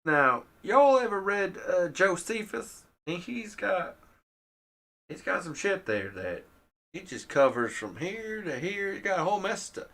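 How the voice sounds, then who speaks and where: Man doing a smug, drawling hillbilly, high and lively, bright tone. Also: unhurried, exaggerated voice. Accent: put-on Southern rural US. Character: folksy male hillbilly, boastful and half-informed.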